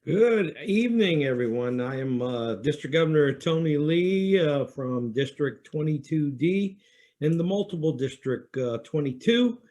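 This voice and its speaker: A man from the United States, a district governor, introducing himself haltingly with many fillers. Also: a little high, animated, darkish tone. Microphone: video call.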